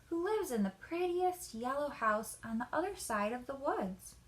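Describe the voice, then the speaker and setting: A woman's voice: high voice, expressive, moderate pace, voice pushed up. A woman voicing an innocent, trusting little girl from a storybook, polite and earnest. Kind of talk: children's storybook character voice.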